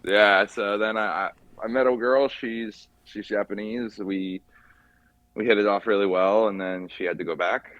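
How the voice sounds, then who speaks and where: Low-voiced man, casually rambling. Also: fairly even intonation, moderate pace, fairly bright tone, no echo, dull audio. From California, USA. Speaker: podcast guest, car mechanic by trade. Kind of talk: casual chat.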